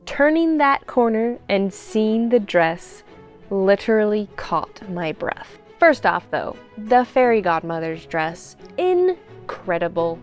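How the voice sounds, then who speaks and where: A woman narrating a vlog in an excited, gushing and exclamatory way. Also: mid-range voice, slow pace, soft background music. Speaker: vlogger and seamstress.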